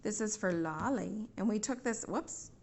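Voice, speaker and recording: A woman's voice: mid-range voice, moderate pace, darkish tone, breathy edge. A woman with an American accent giving a casual, patient, step-by-step how-to at home. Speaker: family member giving a phone tutorial. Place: indoors at home.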